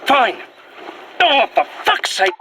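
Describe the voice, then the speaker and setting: Horror podcast role: male actor as irritable British sceptic on the run, high-pitched, swooping delivery, sarcastic and fed up. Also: huge pitch swings, slow pace, pushed and bright, a little hiss or hum, full character voice. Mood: angry, bitter. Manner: exasperated, sarcastic, sweary. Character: irritable, sceptical, panicky man, reluctantly possessed, on the run. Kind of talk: found-footage horror audio drama.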